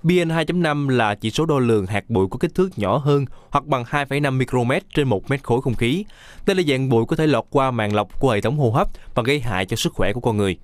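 A man reading scripted news voice-over in a brisk, even newsreading manner. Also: mid-range voice, dry recording. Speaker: news reporter.

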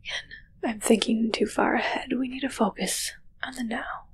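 A young woman playing a haughty, morbidly playful witch in an ASMR roleplay, whispering slowly and breathily in a musing, gently critical way close to the mic. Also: a little high, steady tone, lightly acted, poor audio. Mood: darkly playful. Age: young adult. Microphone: close microphone, seen at close range.

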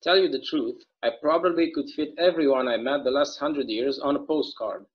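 A folksy small-town bartender played by a young man at a table read, chatty and given to rambling storytelling. His pitch sits mid-range for a man. The sound is a slightly dull, lo-fi video call. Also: moderate pace, natural voice. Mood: easygoing. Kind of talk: online table read of a stage play. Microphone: video call microphone.

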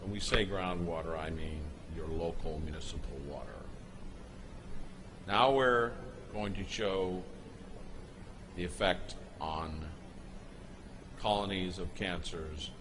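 A man giving an unscripted voice-over, explaining footage in a halting way with pauses and restarts. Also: low-pitched, background noise. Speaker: narrator explaining a product video.

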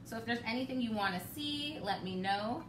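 A woman's voice: a little high, a little fast. Chatty woman cooking in a roomy kitchen. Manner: chatty, relaxed, laughs often. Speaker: cooking show host. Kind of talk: live cooking demo. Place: home kitchen, indoors. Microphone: camera across the kitchen. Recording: roomy, distant, noisy home kitchen.

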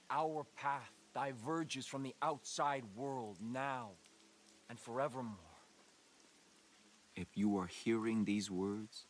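A man playing a solemn, messianic doomsday cult leader in a video game, preaching in grave, deliberate, rhetorical monologues. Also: mid-range voice, a little hiss or hum, close to an everyday voice. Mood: stern warning, then soft, fatherly. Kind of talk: dramatic sermon and broadcast monologue.

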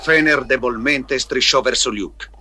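A man's voice: mid-range voice, measured pace, sharp tone, a little hiss or hum. A man reading scripted storybook narration as the narrator of a recorded tale. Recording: music under the voice. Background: music.

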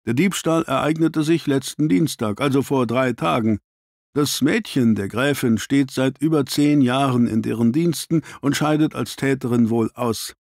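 Gentleman doctor companion, voiced by a male narrator: earnest, well-informed, formal, mid-pitched, audiobook mystery. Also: normal speed, dry recording, studio quality, close to an everyday voice. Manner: formal, explanatory.

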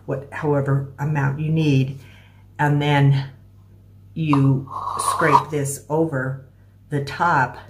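A middle-aged American woman giving a casual, step-by-step cooking demonstration in a halting, explanatory way. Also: a bass voice, darkish tone. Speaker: home cooking instructor. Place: small room at home, indoors. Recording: clear background noise with clicks.

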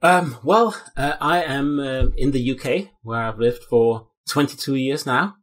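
Husky Danish-accented middle-aged man. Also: medium pitch, measured pace, a bit bright. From Denmark. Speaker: guest, procurement consultant. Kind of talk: interview on a video call. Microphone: headset on a video call.

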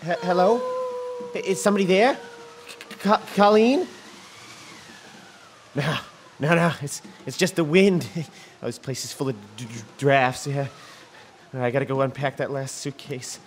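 A meek, flustered husband in a live radio play. He stammers in a high-set voice and grumbles under his breath, with clearly noisy ambience behind him. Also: unhurried, pauses often. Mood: nervous. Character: timid henpecked husband, nervous, muttering grumbler. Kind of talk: live radio drama acting.

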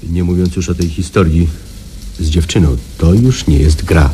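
An actor playing a hardened, middle-aged wilderness guide with an old grudge, speaking in a measured, halting way for a radio drama. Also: male voice, low voice, warm tone, a little hiss or hum, lightly acted. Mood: quiet bitterness. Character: hardened wilderness guide, quietly vengeful former robber. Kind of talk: Polish radio drama acting.

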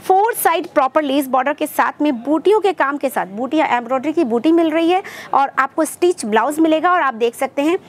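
Upbeat high-voiced young woman vlogger. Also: lively intonation, fairly quick. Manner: upbeat sales patter, addresses viewers as friends. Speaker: shopping vlogger presenting wholesale lehengas. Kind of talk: product showcase vlog. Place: textile shop full of stacked fabric, indoors.

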